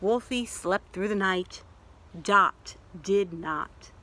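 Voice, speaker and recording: A middle-aged woman reading a picture book aloud to children outdoors in an expressive way, pausing to ask questions. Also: mid-range voice. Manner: expressive, asks listeners questions. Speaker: library story reader. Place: outdoors in a leafy yard.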